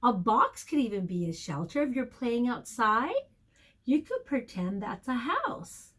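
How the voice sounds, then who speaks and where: A woman with a fairly high, very animated voice speaks as a teacher to small children. She takes her time, stretching out key words. Her manner is expressive and encouraging, inviting her young listeners to answer. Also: a little slow. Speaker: preschool teacher reading a picture book aloud. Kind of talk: children's storytime and vocabulary lesson.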